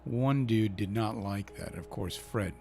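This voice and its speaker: Icelandic guy talking through his football manager game, low gravelly voice, music under it. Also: measured pace, a little mellow. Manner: chatty, thinking aloud, cheering his team on. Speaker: gaming channel host.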